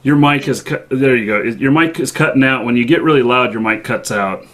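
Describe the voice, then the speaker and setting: A man's voice: mid-range voice, brisk, a bit bright. A man hosting a casual interview show, hesitant and full of fillers as he asks questions. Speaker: show host.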